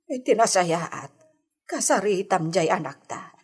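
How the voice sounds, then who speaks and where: Slow-talking, fretful, suspicious mother. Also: fairly low pitch, very animated, a little mellow, husky, exaggerated voice. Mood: worried. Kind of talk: Ilocano radio drama acting. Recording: noisy old radio recording.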